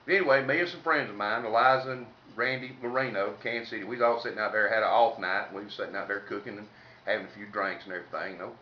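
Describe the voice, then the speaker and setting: A man's voice: lowish voice, rapid-fire. Folksy Southern man, roomy distant recording. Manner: folksy, colloquial, salty. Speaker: comedic storyteller. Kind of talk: informal humorous storytelling. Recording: roomy, distant mic, faint noise, dull sound.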